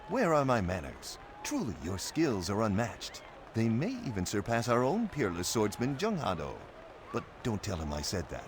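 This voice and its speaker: A man's voice: mid-range voice, slight background noise. A man voicing a snobbish royal court official in a game, talking in a pompous, condescending way.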